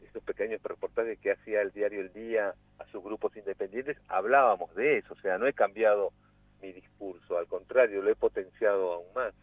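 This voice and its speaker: A man's voice: fairly low pitch, fairly quick, no echo. A man around sixty who grew up in Peru, an actor speaking as a guest in a radio interview, reflective and repeating words as he searches for them. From Peru, raised in Cusco. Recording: tinny phone line, dry.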